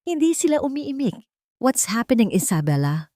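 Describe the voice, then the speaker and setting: A woman's voice: fairly high pitch, big pitch swings, moderate pace, dark tone, no echo, studio quality, pitched above the performer's own voice. A woman voicing a troubled, suspicious rich father in an audio drama, questioning in a cold, clipped manner. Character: troubled cold-voiced billionaire father, a man growing suspicious.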